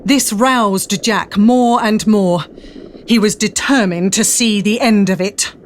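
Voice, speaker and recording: A woman with a mid-pitched voice narrates an adventure story in a dramatic, scripted style. Faint ambient noise sits behind her. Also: takes it slowly.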